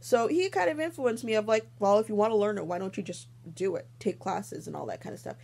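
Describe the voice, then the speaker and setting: A woman's voice: mid-range voice, fast, gravelly, a little mellow. A young adult woman hosting a podcast, rambling casually with many ums and little laughs. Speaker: podcast host. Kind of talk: casual chat.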